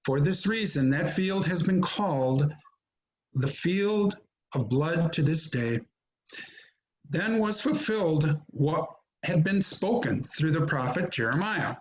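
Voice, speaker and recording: A man narrating a scripture drama as an amateur reader, solemn and measured, in short phrases, recorded over a video call. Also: a little high, animated, a little hiss or hum, low-quality recording.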